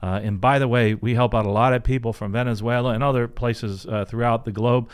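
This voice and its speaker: A man hosts a casual advice podcast. His voice sits at an average pitch for a man. He talks in an informal, conversational way, like a coach answering questions from his listeners. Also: fairly even intonation, fairly quick, clean tone. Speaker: podcast host and career coach.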